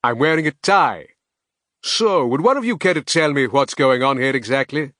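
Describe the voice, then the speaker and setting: A brash, theatrical male time-traveller who declaims in a raised, lively voice, as a man reads him out in an audiobook. He speaks with pushy insistence, and his pitch swings widely. The sound is close and dry. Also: moderate pace, no room sound, natural voice. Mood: pressing. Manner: emphatic, declaiming, higher and louder than the narration. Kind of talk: audiobook character voice.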